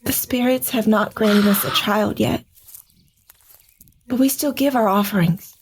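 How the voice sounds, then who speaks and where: An actress playing a gentle young village wife who giggles and speaks earnestly, in an audio drama. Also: female voice, medium pitch, measured pace, husky. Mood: calm.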